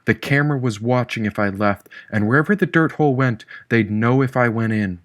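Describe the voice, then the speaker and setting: A man narrates a first-person novel as an audiobook reader. His voice sits at a middle pitch. The recording is very dry, with hardly any room sound. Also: fairly quick, no echo. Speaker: audiobook narrator, author reading own book.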